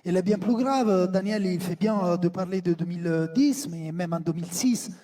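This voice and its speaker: A man's voice: sits high, moderate pace, dark tone, recorded from a distance. A man from Italy, debating football as a studio pundit, hesitant and full of fillers.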